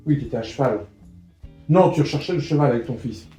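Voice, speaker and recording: A man guest talking casually in blunt, deadpan replies. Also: mid-range voice, brisk, roomy sound, faint music behind. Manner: blunt, deadpan, short answers. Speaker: guest, submissive partner. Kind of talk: casual chat.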